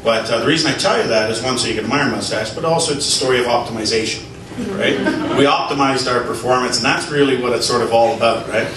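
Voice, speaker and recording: A man with a Canadian accent giving a casual talk to a live audience, speaking loudly without a microphone and sprinkling in fillers and tag questions. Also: medium pitch, fast. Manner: loud, chatty, full of fillers and tag questions. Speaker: internet marketing consultant giving a talk. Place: meeting room with an audience. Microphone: no microphone, picked up from a distance. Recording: echoey room, distant, with noise.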